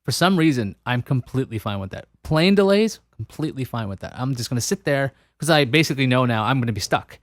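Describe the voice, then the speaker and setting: A male podcast host talks through his thoughts, often restarting his sentences. His voice sits at a middle pitch and sounds dry and close, with no room echo. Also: expressive, fast, hardly any pauses. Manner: musing, thinks aloud with frequent restarts. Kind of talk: casual interview conversation.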